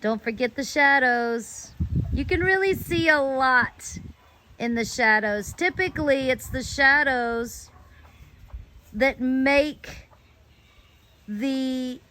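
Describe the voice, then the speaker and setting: Casting: American woman host, high voice, leisurely drawn-out speech, informal personal storytelling. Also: bright, forceful tone, a little hiss or hum. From United States. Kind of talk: casual rambling storytelling.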